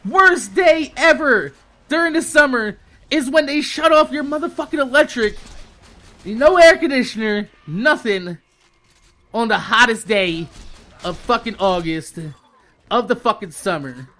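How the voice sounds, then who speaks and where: Young american dude ranting to his youtube viewers, bright edgy voice, pitch goes all over, swears a ton. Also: unhurried. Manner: profane, exasperated, animated venting with emphatic repetition. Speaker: YouTube host. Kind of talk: casual storytime rant.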